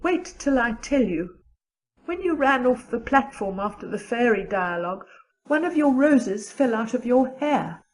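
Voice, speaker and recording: A woman's voice: on the high side, expressive, a little slow, slight background noise, low-quality recording. A woman playing a practical, chatty, loyal teenage girl with a matter-of-fact, gossipy manner in an audio drama. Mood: admiring, chatty.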